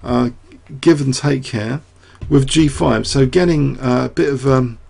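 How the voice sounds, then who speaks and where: A man's voice: medium pitch, a little slow, vocal fry, darkish tone, breathy edge. A man analyzing a chess game aloud, losing his voice, rambling through rhetorical questions with many fillers. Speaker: chess commentator.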